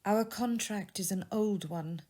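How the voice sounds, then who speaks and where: A woman voicing a sorrowful, dignified young woman in a classic storybook tale, speaking gently and earnestly. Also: mid-range voice, a little slow, pitched above the performer's own voice, close to an everyday voice. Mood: gentle reproach. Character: sorrowful, dignified young woman releasing her changed fiancé. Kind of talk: dramatised audiobook reading of a classic tale.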